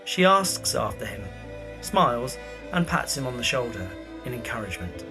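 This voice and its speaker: A man narrates drama scenes from a script in the present tense. His mid-pitched voice is animated, rising and falling widely. Faint music sits behind it. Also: moderate pace.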